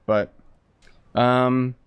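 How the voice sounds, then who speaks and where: A man's voice: medium pitch, flat delivery, very slow, clear, resonant tone. A man giving casual, sarcastic live commentary as a video game streamer, in an informal way. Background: faint game music.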